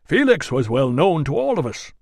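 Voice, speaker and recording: A man voicing a dignified middle-aged guild representative with a rich bass voice and a measured, formal manner. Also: big pitch swings, gravelly, no room sound, clean studio sound, heavily acted.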